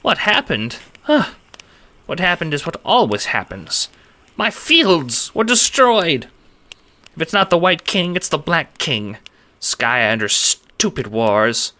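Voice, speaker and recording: A man voicing a small, timid, squeaky-voiced shelled farmer creature in a read-aloud story. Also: big pitch swings, slow pace, pushed and bright, exaggerated voice. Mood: bitter.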